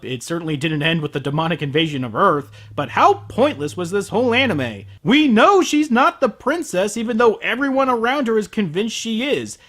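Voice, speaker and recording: Male reviewer, lively swooping delivery, sarcastic and profane rants. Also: very high voice. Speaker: anime reviewer.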